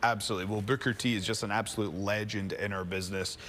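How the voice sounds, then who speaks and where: A man's voice: on the low side, steady tone, quick pace, fairly bright tone. Scottish wrestler guy, mild accent, cheeky hype man energy on a tv set. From Scotland. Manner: showy, hyping, playful boasting. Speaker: wrestler guest. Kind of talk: casual TV interview chat. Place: TV studio.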